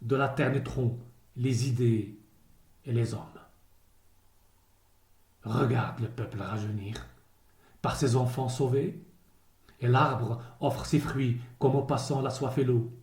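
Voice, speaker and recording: A man recites verse very slowly in a solemn, measured way. His voice is mellow and soft-edged, with a grave delivery broken by pauses. The recording is roomy, with noticeable echo around the words. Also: mid-range voice, fairly even intonation. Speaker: poet reading a poem.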